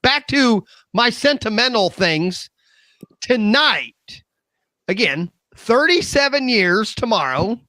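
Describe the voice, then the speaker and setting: An American man in his fifties chatting casually at a round-table talk show, with an animated, opinionated manner. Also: high-pitched, slow, no room sound, rough recording. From Midwest United States. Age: 50s.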